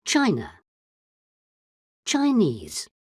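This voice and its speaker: A woman with a low, husky voice reads vocabulary words one at a time, very slowly. Each word is drawn out carefully, and her pitch sweeps widely. The sound is dry and close. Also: wildly swooping pitch, no room sound. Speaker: vocabulary reader for a language lesson. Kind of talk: reading word lists slowly.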